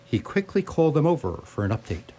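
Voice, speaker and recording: A man's voice: a little high, sing-song, a little fast, dark tone. A man narrating a radio play in a theatrical, wry storytelling manner.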